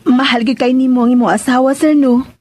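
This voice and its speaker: Actress as high-voiced, timid young Visayan maid. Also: female voice, steady tone, a little mellow, a little hiss or hum, close to an everyday voice. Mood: curious, sympathetic. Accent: Visayan Philippine. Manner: hesitant, halting, exclaiming with many interjections. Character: timid, curious young household maid, deferential to her employer. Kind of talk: Filipino radio drama acting.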